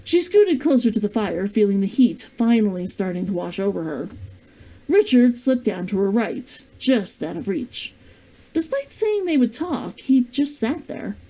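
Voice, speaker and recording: A woman reading her own novel aloud in an expressive storytelling style. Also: medium pitch, a little slow, poor audio. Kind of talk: expressive audiobook-style narration.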